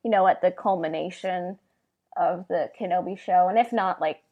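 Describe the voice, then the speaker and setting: Casual, halting woman podcaster. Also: lowish voice, lo-fi sound. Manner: halting, heavy use of "like". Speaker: podcast co-host. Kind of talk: casual chat about game lore.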